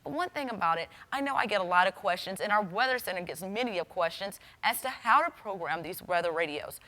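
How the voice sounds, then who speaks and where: A woman's voice: mid-range voice, expressive, fast talker, bright, forceful tone. A woman weather forecaster presenting an explanatory how-to product demonstration, speaking directly to the viewer. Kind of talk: instructional product demonstration to camera.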